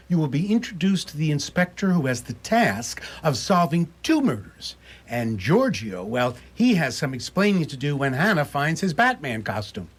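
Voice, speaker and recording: Animated, husky male radio announcer. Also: fairly high pitch. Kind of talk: scripted radio announcing, theatrical recap.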